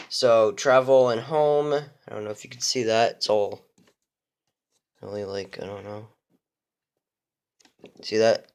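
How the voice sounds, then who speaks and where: A young man giving a casual show-and-tell of his radio collection, rambling, with many fillers and restarts. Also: on the low side.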